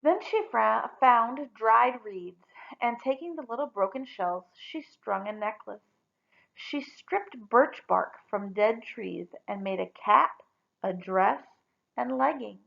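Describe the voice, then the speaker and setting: A woman reading a story aloud expressively, with deliberate, dramatic emphasis on key words. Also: a little high, expressive, creaky, lo-fi sound.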